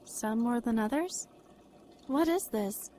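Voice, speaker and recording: A woman's voice: fairly high pitch, lively intonation, slow pace, warm tone, a little hiss or hum. An actress playing a curious, refined young society lady in a period radio drama, polite, composed and formal.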